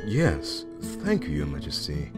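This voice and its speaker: A man playing a gentle, grave old skeleton father who speaks softly and formally, in a cartoon comic dub. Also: very deep voice, wildly swooping pitch, measured pace, over music, heavily acted. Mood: grateful. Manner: grave, formal, soft-spoken.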